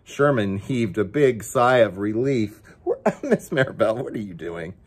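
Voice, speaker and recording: A man's voice: fairly low pitch, very animated, measured pace, breathy edge. A man reading a children's picture book aloud as story time host, with playful, chatty asides to his pet dog.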